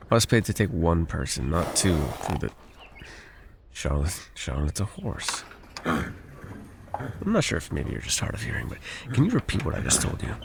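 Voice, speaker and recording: Man acting a gravelly, low-voiced wilderness guide. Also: noisy background, exaggerated voice. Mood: stern, grudging. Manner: dry, teasing, folksy. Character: gruff, teasing, exasperated wilderness guide for hire. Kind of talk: ASMR audio roleplay, one-sided dialogue addressed to the listener.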